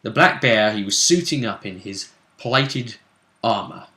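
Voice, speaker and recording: A man commentating as host on a fantasy role-play tournament, with deliberate, halting phrasing. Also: fairly low pitch, expressive, pushed and bright. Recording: roomy, echoing sound.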